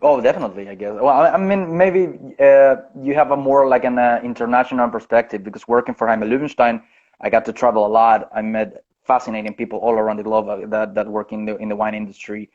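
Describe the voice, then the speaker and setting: A man born in Chile, a guest winemaker speaking over a video call, unhurried and often restarting or correcting himself. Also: medium pitch, steady tone. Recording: dull lo-fi video call audio.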